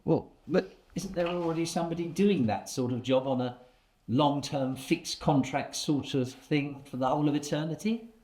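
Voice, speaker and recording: A man acting a bemused, hesitant job candidate in a comic play, halting, sceptical and understated. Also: on the high side, a little mellow, close to an everyday voice. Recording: echoey room sound.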